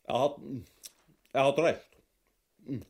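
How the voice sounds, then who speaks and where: A man's voice: medium pitch, takes it slowly, darkish tone. A man playing an army captain, a dinner guest in a grotesque comedy, speaking. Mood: hearty, agreeing. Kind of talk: grotesque stage comedy acting.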